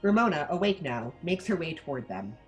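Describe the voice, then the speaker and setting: A woman reading stage directions as scripted narration at a table read, in an animated manner. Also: low-pitched, brisk, soft background music.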